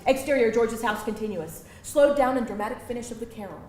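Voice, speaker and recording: A woman narrating a screenplay at a live table read, reading the stage directions in an expressive, theatrical way. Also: on the high side, quick pace. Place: live event room with an audience. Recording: echoey live room, distant mic, music, audience. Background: music and audience noise.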